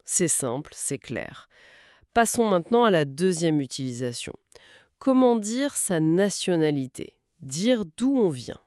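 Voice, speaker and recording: A woman teaches in a slow, deliberate way, pronouncing each phrase clearly so listeners can repeat it. Her pitch moves a lot as she speaks. The recording is dry and close. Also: very animated, a little mellow, dry recording. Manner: slow, clearly articulated, modelling phrases for repetition. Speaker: French teacher. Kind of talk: slow scripted language lesson.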